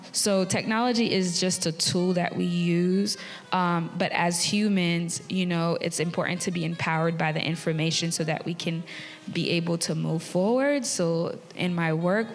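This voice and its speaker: A woman from Haiti in her thirties, a tech community founder speaking earnestly on a live theatre panel. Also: fairly low pitch. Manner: earnest, friendly, with fillers and laughs. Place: theatre stage. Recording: long reverb of a theatre hall.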